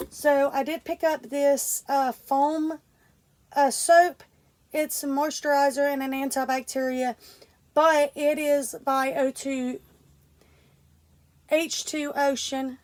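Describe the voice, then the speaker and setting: A young woman, congested and stuffy, casually talking through an unboxing with lots of fillers and restarts. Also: sits high. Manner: rambling, many fillers and restarts. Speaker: vlogger.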